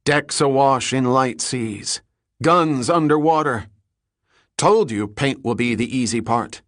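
A man's voice: mid-range voice, slow pace, a bit bright, no echo, clean studio sound, voice pushed up. A man playing a blunt, commanding navy sea captain in an audiobook, emphatic and instructing as he explains. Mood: excited, exasperated. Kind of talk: dramatic audiobook character reading.

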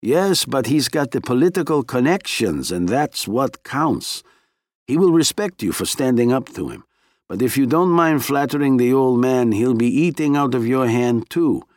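A man's voice: medium pitch, expressive, moderate pace, darkish tone, dry recording, pitched above the performer's own voice, close to an everyday voice. A man voicing a shrewd, frank married woman with a confiding, teasing manner in an audiobook. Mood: confiding, wry. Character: Maria, warm, shrewd, frank Russian wife and scientist.

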